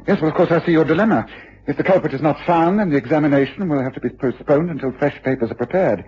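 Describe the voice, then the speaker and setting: An actor playing a shrewd, self-assured gentleman detective with an English accent and a husky voice, questioning incisively in a period radio drama. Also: fairly high pitch, fast talker. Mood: shrewd, decisive. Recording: dull, lo-fi old radio recording.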